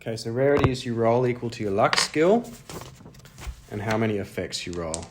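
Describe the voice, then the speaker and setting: A man's voice: mid-range voice, lively intonation, brisk, background noise. A man thinking aloud, unscripted, as he plays a tabletop game alone, musing to himself. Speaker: solo roleplayer.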